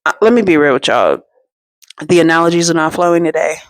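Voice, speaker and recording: A woman hosting her own storytime show, telling a personal story in a chatty, slangy and animated way, full of asides to her viewers. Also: low-pitched, fast talker, no echo. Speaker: storytime host.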